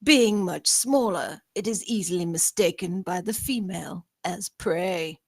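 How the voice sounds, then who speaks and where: A woman playing a nature documentary narrator, measured and factual, in a script reading. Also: fairly low pitch, slightly rough, no echo, studio quality. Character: nature documentary narrator describing spider mating.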